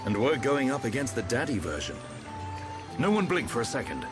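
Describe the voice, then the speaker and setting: A man plays a seasoned video game warrior who leads with a steady, protective hand. His medium-pitched voice moves expressively, wry and commanding, over clear background music. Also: a little fast, natural voice. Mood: confident. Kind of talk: video game cutscene acting.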